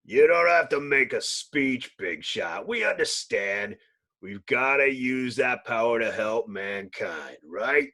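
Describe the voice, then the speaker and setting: A man voicing a gruff, surly monster hero with grumbling, slangy tough-guy talk in a comic book story. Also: mid-range voice, animated, slow, pushed and bright, pitched below the performer's own voice. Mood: grudging, sardonic.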